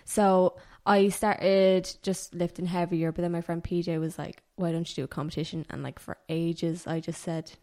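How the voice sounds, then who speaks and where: A woman's voice: on the low side, level tone, normal speed, hardly any pauses, dark tone. A woman, a podcast guest chatting casually and rambling a little as she corrects herself. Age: 20s. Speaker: podcast guest, personal trainer. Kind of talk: casual chat.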